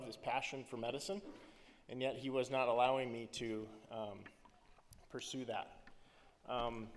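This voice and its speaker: Man, 30s, missionary addressing a congregation; earnest, halting delivery, mid-pitched, long hall reverb. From Philippines. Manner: earnest, halting with frequent ums and restarts. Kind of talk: church missions talk.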